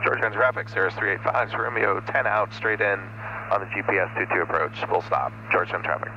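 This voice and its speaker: A man piloting a small plane, talking casually and haltingly over a headset in the cockpit. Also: lowish voice. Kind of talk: casual cockpit chat with radio calls. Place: small airplane cockpit in flight. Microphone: aviation headset. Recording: muffled headset audio, clear cockpit noise. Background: engine hum and radio traffic.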